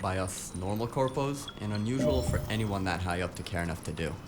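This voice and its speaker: An actor playing a grumbling tech office worker in an audio drama, casual and slangy with a melodramatic streak. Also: male voice, sits low, brisk, heavy background noise, lightly acted. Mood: puzzled, indignant.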